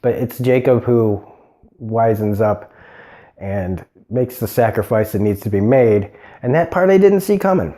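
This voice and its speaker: A man's voice: lowish voice, animated, unhurried, warm tone. A man hosting a TV review show, talking casually and rambling with many fillers and restarts. He has a cold and sounds nasal. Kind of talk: casual unscripted episode review.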